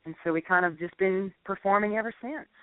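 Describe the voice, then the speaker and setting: Deep-voiced woman singer on a phone line. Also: fairly quick, no room sound. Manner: easygoing, laughs while telling stories. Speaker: singer, interview guest. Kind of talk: casual storytelling interview. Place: California. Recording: dry, tinny phone line.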